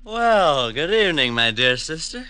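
Lively, sardonic, drunken rich brother. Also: male voice, a little high, unhurried, smooth, exaggerated voice. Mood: mocking, sardonic. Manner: mocking, sarcastic, tipsy drawl. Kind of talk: 1950s radio drama acting.

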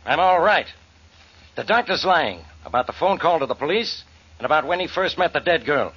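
An actor playing a gruff, suspicious, overbearing police inspector in 1940s radio style, on a muffled old recording. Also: male voice, a little high, animated, moderate pace, bright, forceful tone, full character voice. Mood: accusing, gruff. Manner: blunt, accusing, sarcastic.

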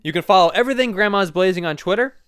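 A man's voice: high-pitched, very fast, fairly bright tone, smooth, no echo, clean studio sound. A young man hosting a casual podcast, teasing and playfully questioning his grandmother. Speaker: podcast host.

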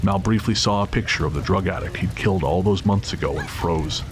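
A man's voice: sits low, fairly quick. A man reading a dramatic scripted story as the narrator of an audio drama. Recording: clear background noise and ambience.

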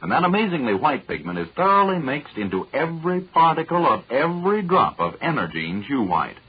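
A man reads a vintage radio commercial. His very rough voice rises and falls emphatically, and the recording is muffled. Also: on the high side, sing-song, fairly quick. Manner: emphatic sales delivery. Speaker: commercial announcer. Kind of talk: vintage 1940s radio advertisement.

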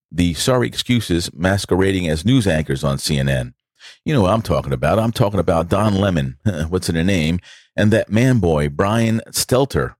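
An older man with a New York accent delivers a sarcastic opinion monologue. His voice sits low, and he swings it up and down a lot. It sounds close and dry. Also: a little mellow, dry recording. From New York City. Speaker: podcast host.

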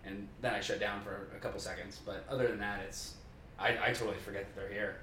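Man, tabletop player, fast, bright tense voice, rambling fillers, roomy echo, mic far off. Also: on the low side, steady tone, slight background noise. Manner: rambling, lots of "you know" fillers. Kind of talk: casual chat.

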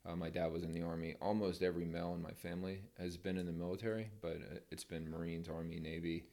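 Middle-aged veteran guest, very low voice, measured and firm. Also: male voice, steady tone, warm tone. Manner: measured, plain-spoken, firm opinions. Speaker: podcast guest, military veteran. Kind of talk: casual interview chat.

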